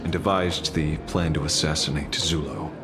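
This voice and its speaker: An actor playing a grave, enigmatic leader of rangers in a video game, speaking in a slow, measured way. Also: male voice, very deep voice, steady tone, creaky, over music. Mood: grave, reflective.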